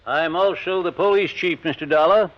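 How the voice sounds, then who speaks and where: Man playing a self-important higher-voiced small-town mayor. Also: normal speed, close to an everyday voice. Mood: officious. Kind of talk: 1950s radio drama acting. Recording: dull vintage radio recording.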